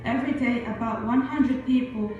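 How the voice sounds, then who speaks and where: A woman reading a formal documentary narration in an even manner. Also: a little high, normal speed, lo-fi sound, soft background music. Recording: video played in an echoey hall, heard from far off.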